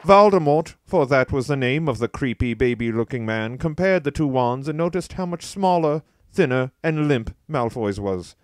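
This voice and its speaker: A man giving scripted narration for a parody audiobook in a deadpan manner, as the storyteller. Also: mid-range voice, moderate pace, hardly any pauses, no echo.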